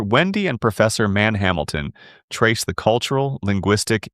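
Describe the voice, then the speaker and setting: A man with a low voice reads a scripted podcast introduction in a measured, formal way. The sound is dry and close. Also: fairly bright tone, no echo. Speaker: episode narrator. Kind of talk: scripted narration.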